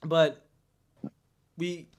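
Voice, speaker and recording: A man's voice: a little high, level tone, extremely slow, slight background noise. A man hosting a roleplay talk show over game voice chat, musing and rambling with frequent laughs.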